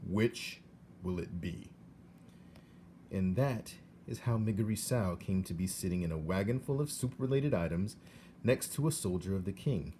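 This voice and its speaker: A man, a teacher, reading a children's novel aloud in a steady, expressive voice. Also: lowish voice, normal speed, slight background noise. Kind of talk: read-aloud storybook narration.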